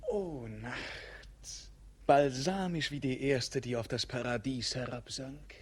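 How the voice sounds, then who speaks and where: An actor playing a dreamy, world-weary young prince, speaking in a solemn, poetic way in a 1950s radio play. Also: male voice, mid-range voice, slow pace, husky. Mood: rapt. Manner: solemn, poetic, romantic delivery.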